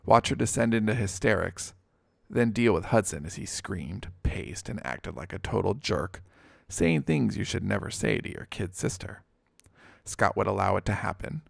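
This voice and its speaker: Male audiobook narrator, lowish and gravelly, scripted fiction read, very dry sound. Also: no echo.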